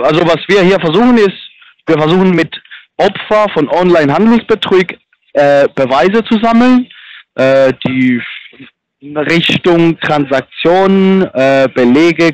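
A man speaking non-native German with a foreign accent, haltingly giving a phone sales pitch and searching for words. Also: low-quality recording. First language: not German. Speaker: phone agent for a fund recovery company.

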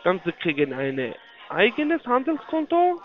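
High-pitched foreign-accented man, swooping salesman intonation. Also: huge pitch swings. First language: not German (non-native speaker). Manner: halting, repetitive, broken grammar. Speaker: investment telephone salesman. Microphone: phone call. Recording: dull, lo-fi phone call audio.

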